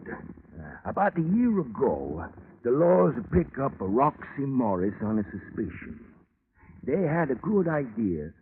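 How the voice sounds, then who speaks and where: A tinny, faintly noisy vintage radio recording. a shady American underworld undertaker with a lively pitch swing, muttering tough slang from the side of his mouth. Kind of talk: old-time radio crime acting.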